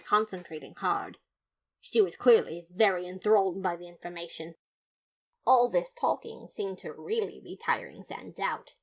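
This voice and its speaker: A woman voicing an earnest, boastful male skeleton detective who narrates his case in a fan audio drama, theatrical and emphatic. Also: medium pitch. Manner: theatrical, self-satisfied, emphatic.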